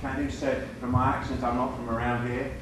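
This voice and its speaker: A man's voice: mid-range voice, level tone, a little fast. British man speaking in an echoey room. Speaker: guest speaker, business consultant. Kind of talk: informal short address to a group. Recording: echoey room, distant mic, noisy ambience.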